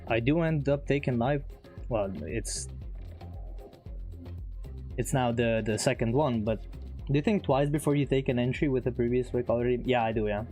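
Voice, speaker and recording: A man's voice: medium pitch, normal speed, long pauses, mellow. A man with English as his second language, chatting casually on a live trading stream, laughing often and reading chat aloud. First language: not English. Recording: faint music behind.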